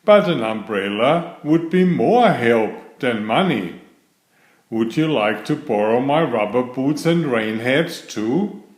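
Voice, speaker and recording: A man voicing a cheerful, literal-minded housekeeper woman in a children's storybook, in a bright, wondering, sing-song way. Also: medium pitch, expressive, slow pace. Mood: chirpy. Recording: roomy echo.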